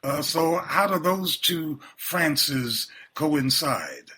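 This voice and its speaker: A man interviews a guest on the radio, putting his questions in a measured, deliberate way. He speaks slowly, and his fairly high voice has a bright, forceful edge. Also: expressive, husky.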